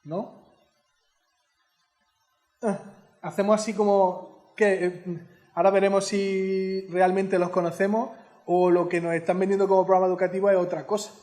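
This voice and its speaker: A man's voice: sits high, level tone, normal speed, pauses often, warm tone, reverberant. A man giving an informal lecture as an educator, chatty and teacherly, often asking his audience questions. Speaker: educator giving a heritage talk.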